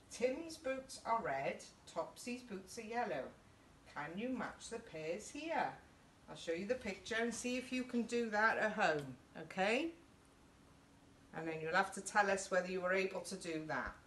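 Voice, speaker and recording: A woman's voice: mid-range voice, expressive, measured pace, fairly bright tone. Middle-aged British female storyteller for small children, child-directed questions, roomy distant sound with faint noise. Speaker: story time host reading to children. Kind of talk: children's picture book read-aloud. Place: small indoor youth group playroom. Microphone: camera a couple of metres away. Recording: echoey room, distant mic, faint background noise.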